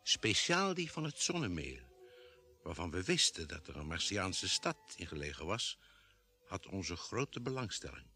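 Low, animated space-expedition narrator. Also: male voice, a little slow, full character voice. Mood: measured, serious. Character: spaceship doctor recounting a Mars expedition. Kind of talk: scripted narration in old Dutch radio drama.

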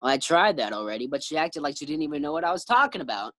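Flustered, stammering sitcom husband, played by a woman: low register, lively, anxious, vintage radio style. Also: racing, heavily acted. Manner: stammering, befuddled. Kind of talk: 1940s radio sitcom acting.

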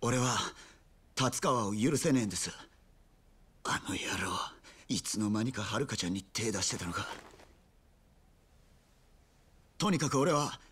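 An actor playing an earnest, hot-headed young yakuza underling in a game drama, with a rough, blunt way of talking. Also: male voice, fairly low pitch, expressive, normal speed, fairly bright tone. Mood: bitter resolve. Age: young adult. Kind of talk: dramatic Japanese video game acting.

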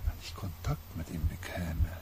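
An actor playing an empathic expedition member in a radio drama, halting and intense, overwhelmed by what he senses. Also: sits low, fairly even intonation. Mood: awed, brooding. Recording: faint ambient noise.

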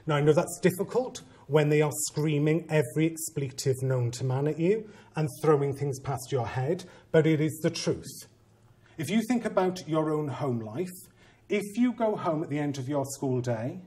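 Welsh head teacher in his 40s, lively conference presenter, theatrical and teasing, lapel mic. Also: a little high, moderate pace. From Wales. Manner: animated and theatrical, acting out quoted voices, teasing the audience. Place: conference training room with seated audience.

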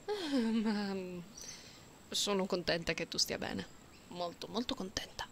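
Woman as a caring playful catgirl, slow soft intimate delivery, ASMR roleplay, noticeable background noise. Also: medium pitch, expressive. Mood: teasing, curious. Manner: soft, unhurried, intimate, sometimes whispery. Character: playful, caring young catgirl who meows and chatters. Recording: binaural close mic, audible background noise.

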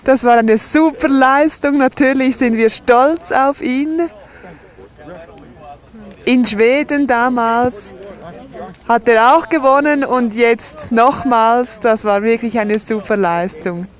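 A woman voicing a sign language sports report and interviews in a measured, steady way. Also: fairly high pitch.

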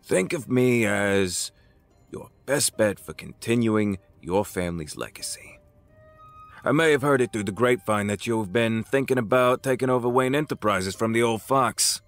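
An actor playing an older crime boss in an audio drama, patronizing and deliberate, with a smug, folksy menace. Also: male voice, fairly low pitch, soft background music, close to an everyday voice. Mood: smug, persuasive.

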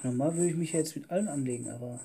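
A woman's voice: deep voice, very fast, warm tone, a little hiss or hum. A woman hosting a gaming video, talking casually with a co-op partner while playing. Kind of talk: casual co-op gameplay chat. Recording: guitar music behind the voice. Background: plucked guitar music.

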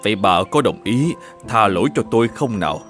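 A man voicing a grumpy, nagging older shoemaker in an animated folk tale, muttering and exclaiming as he talks. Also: lowish voice, fairly quick, soft background music, lightly acted. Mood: apologetic. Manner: exclaiming, muttering to himself, scolding. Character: grumpy, nagging older shoemaker husband, scornful and quick to scold.

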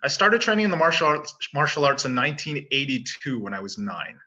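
A man's voice: medium pitch, very animated, brisk, pushed and bright. A Canadian-born man in his forties, a martial arts teacher, talking casually and self-assuredly as an interview guest. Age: 40s.

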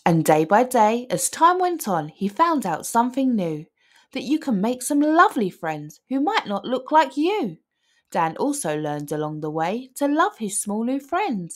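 Female children's book narrator, high voice, very lively intonation, singsong read-aloud. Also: a little slow. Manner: expressive, singsong rhyming delivery.

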